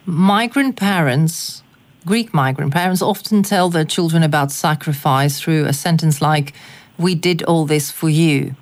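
Interviewer, mid pitch, slow deliberate questions, measured delivery.

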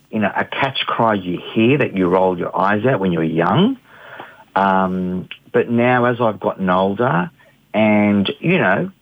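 Deep gravelly man on muffled radio. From Australia. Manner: hesitant, many fillers and restarts. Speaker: interview guest, artist. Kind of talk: reflective interview answers.

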